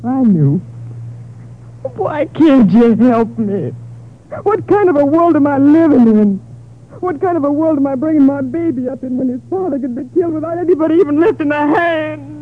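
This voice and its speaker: An American actress playing a bitter, pleading young widow with a baby in a 1950s radio drama, speaking tearfully and with passion. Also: female voice, high voice, expressive, normal speed. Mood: accusing, despairing. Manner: tearful, sobbing, impassioned. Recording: tinny, narrow-band old radio recording.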